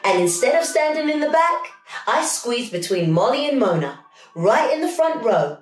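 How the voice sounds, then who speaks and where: A middle-aged woman reading a children's story aloud in an expressive, dramatic storytelling style. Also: on the high side, normal speed. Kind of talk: animated read-aloud storytelling. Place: small home room, indoors. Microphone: camera a few feet away. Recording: echoey room with music underneath.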